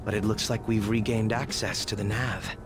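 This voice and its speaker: A man's voice: on the low side, fairly even intonation, moderate pace, vocal fry. A young man voicing a coolly polite, shrewd detective in a video game, articulate and analytical.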